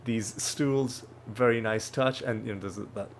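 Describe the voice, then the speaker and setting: A man's voice: lowish voice, measured pace, slight background noise. A man hosting a let's play, explaining the game in a rambling, self-correcting way, with a slightly nasal voice as he gets over a cold. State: recovering from a cold. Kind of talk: casual gameplay commentary. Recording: faint background music.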